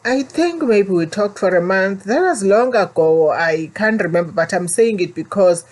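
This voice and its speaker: A woman's voice: mid-range voice, lively intonation, normal speed, a little mellow. A woman with a South African accent telling viewers her own story and warning them, earnest and preachy, often repeating herself. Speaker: vlogger.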